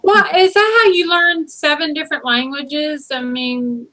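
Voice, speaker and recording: A woman hosting a live online interview, enthusiastic and quick to laugh. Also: sits high, fairly even intonation, sharp tone. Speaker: host.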